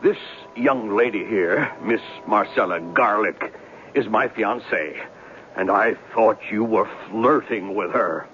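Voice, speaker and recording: A man's voice: on the low side, takes it slowly, fairly bright tone. An actor playing a pompous, jealous fat man in a 1970s radio drama, speaking in grandiose, blustering phrases. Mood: passionate. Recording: muffled, hissy 1970s radio broadcast recording.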